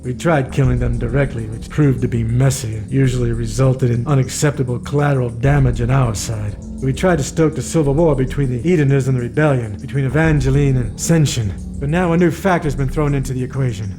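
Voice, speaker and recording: A commanding covert-operations chief played by a male actor lays things out with calculating authority. His voice is medium in pitch and emphatic, over clear audio drama music. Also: fairly quick, hardly any pauses, natural voice. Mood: urgent strategizing.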